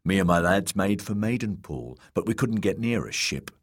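A man's voice: deep voice, animated, moderate pace. A man voicing a coarse, mocking sellsword who talks in a casual drawl. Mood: casual menace. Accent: fantasy drawl. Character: jeering male sellsword spearman, coarse and casual.

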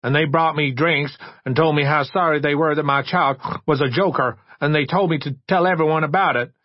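A man's voice: mid-range voice, fairly even intonation, measured pace, slightly rough, no echo, muffled recording, pitched above the performer's own voice. A man voicing a drunken, despairing middle-aged father, slurring and tearfully pleading in self-pity.

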